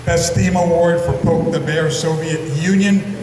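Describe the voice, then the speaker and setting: A man's voice: fairly high pitch, fairly even intonation, unhurried, darkish tone, slight background noise. Emcee guy cracking jokes on a hall PA, far from the mic, long reverb. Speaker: emcee of an awards ceremony. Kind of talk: joking emcee patter. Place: museum exhibition hall. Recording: echoey hall from far off with crowd.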